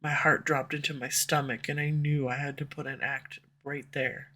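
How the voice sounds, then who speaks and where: A woman's voice: deep voice, flat delivery, normal speed, bright, forceful tone. A woman voice actor playing a proud, guarded female villain who swears and turns defensive when pushed, recorded on a USB condenser mic. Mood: somber, confessional. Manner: curses often, defensive and flustered when pressed. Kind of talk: audio roleplay acting. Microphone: USB condenser microphone.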